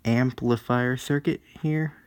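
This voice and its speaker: A man giving an unscripted hands-on technical demonstration, explaining matter-of-factly in halting short phrases, recorded on a phone. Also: medium pitch, steady tone, a little mellow, clear, resonant tone. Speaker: hobbyist presenter of own electronics demo. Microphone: phone recording video.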